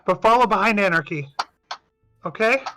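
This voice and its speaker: Player voice, very bright and forceful, chatty, leads the group. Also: mid-range voice, normal speed, soft background music. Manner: chatty and upbeat, leading the party. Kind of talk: casual tabletop play.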